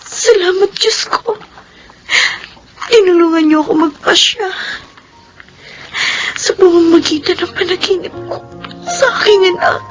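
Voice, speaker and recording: A woman's voice: very high-pitched, measured pace, pauses often, muffled recording, faint music behind. A Filipino woman playing an anguished, devoted young single mother in a radio drama, speaking with tearful, pleading emotion. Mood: tearful relief.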